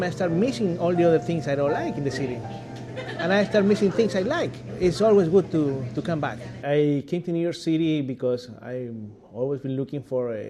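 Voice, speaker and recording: Middle-aged man, Chilean Spanish accent, documentary musician, calm and plain-spoken over clear background music. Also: medium pitch. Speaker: musician and church music director. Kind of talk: documentary interview.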